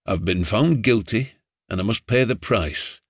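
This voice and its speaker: A man voicing a resigned, condemned gentleman who speaks quietly and gently. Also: fairly low pitch, measured pace, dry recording, studio quality.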